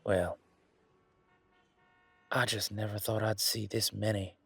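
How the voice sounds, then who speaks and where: A man acts out a towering, easygoing werewolf bodyguard with country roots. His voice is low and rough-edged, recorded dry and close. He talks informally and teasingly, with folksy phrasing and lingering hesitations, as in an intimate roleplay drama. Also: level tone, takes it slowly, a bit bright, dry recording, full character voice. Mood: amazed. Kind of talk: roleplay audio drama, one-sided conversation.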